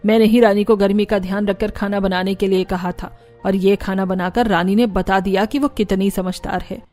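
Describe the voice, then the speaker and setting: Older mother-in-law voiced by a woman, cartoon, mid-pitched, mellow, authoritative, reasonable, faint music. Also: steady tone, moderate pace, natural voice. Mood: approving, calm. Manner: scolding yet reasonable. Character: firm, fair-minded older mother-in-law.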